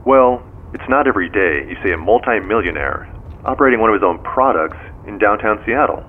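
A composed American police detective, a man who questions formally and carefully. His voice sits low but moves through lively inflections. It comes down a thin phone line in an audio drama. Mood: probing. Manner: measured, formal, probing. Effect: phone call. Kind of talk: modern audio drama dialogue. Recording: tinny phone line with background noise.

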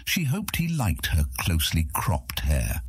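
A man reads scripted fantasy narration in a very low, husky voice. His intonation is lively and varied. The recording is dry and close. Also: measured pace, no room sound. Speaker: audiobook narrator.

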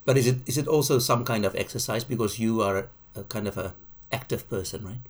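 Male podcast host, lowish voice, steady interviewer, studio mic. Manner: measured, interviewer questions with fillers.

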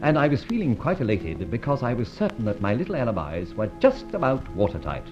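A smug, urbane gentleman thief in an old radio serial. His delivery is theatrical and extremely animated at a medium pitch. The recording sounds dull and old. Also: brisk, over music. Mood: smug, reflective. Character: suave, self-satisfied gentleman thief recounting his adventures. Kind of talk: old radio drama narration and dialogue.

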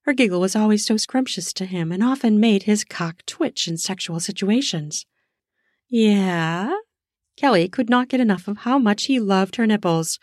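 A woman narrating an erotic audio story in a slow, sensual way, lingering on words for emphasis. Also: medium pitch, darkish tone, no room sound, clean studio sound. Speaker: storyteller, podcast host.

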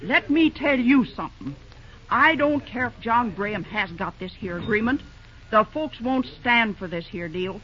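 A woman's voice: a little high, animated, moderate pace, natural voice. Woman playing a folksy older diner keeper. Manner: homespun rural dialect, exclamations. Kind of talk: 1930s radio drama acting. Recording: dull old radio recording.

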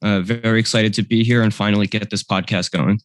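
A man's voice: on the low side, steady tone, a little fast. An eighteen-year-old man co-hosting a podcast, giving firm opinions in casual chat. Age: late teens. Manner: opinionated, firm stance-taking. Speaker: podcast co-host.

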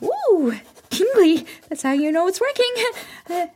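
Super high squeaky lil assistant girl, naive n excitable, voice bouncing all over, comedy audio drama. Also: a little slow, slight background noise, exaggerated voice. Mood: excited, insistent. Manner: excitable, earnest, apologetic. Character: eager, naive, squeaky young female magical assistant.

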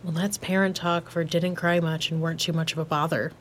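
Wry, easygoing young female techie played by an actress for audio drama; lower register, blunt, over background ambience. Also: flat delivery, brisk, a little hiss or hum, lightly acted. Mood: reflective, easygoing. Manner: casual, slangy, dryly joking. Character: laid-back, wry, blunt young hardware tech. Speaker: voice actor. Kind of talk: naturalistic audio drama dialogue.

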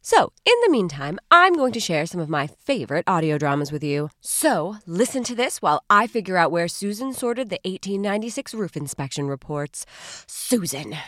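A woman's voice: on the low side, normal speed, no echo, clean studio sound. Playful woman podcaster with swooping intonation. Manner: playful, theatrical, deadpan asides. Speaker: podcast host. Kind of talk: comedic scripted podcast promo. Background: faint music.

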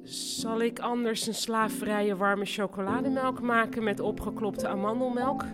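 A woman's voice: on the high side, fairly even intonation, a little slow, music in the background, lightly acted. An actress playing an earnest, airy self-help devotee, woolly in her explaining, in a radio play. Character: earnest, airy spiritual seeker full of self-help wisdom. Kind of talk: radio drama acting.

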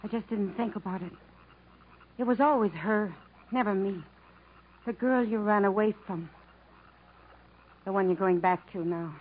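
A woman's voice: mid-range voice, unhurried, long pauses, close to an everyday voice. An actress playing a wistful, wounded woman who yearns for self-respect, with plaintive, searching phrasing in 1950s radio drama style. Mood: wounded, resigned. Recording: muffled, noisy 1950s radio recording.

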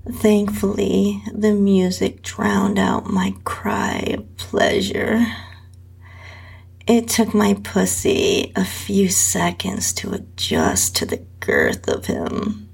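A woman with a husky, breathy voice, reading a scripted first-person adult story slowly as its narrator. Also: medium pitch, huge pitch swings, a little mellow. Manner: slow, drawn-out reading with whispery dips.

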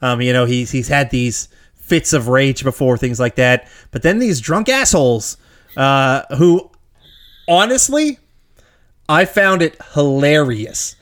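A man co-hosting a casual movie podcast, animated and laughing often, his voice jumping up when he gets worked up. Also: medium pitch, slow pace. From Georgia, US. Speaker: podcast co-host. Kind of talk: casual movie review chat.

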